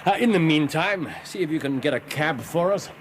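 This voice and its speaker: An actor playing a brisk, keen-minded English gentleman detective in a radio drama, speaking precisely with lively bursts. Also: fairly high pitch, natural voice. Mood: confident. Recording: noisy radio drama with ambient sound effects.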